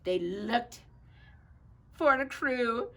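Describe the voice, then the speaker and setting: A woman reads a children's picture book with theatrical expression. Her voice is bright with a good deal of creak, and she tells the story at an unhurried pace. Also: a little high, lively intonation.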